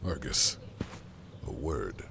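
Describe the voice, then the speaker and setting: An actor playing a wary, cautioning brother god in an audio drama, grave and deliberate in manner. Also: male voice, a bass voice, lively intonation, vocal fry, very noisy, full character voice.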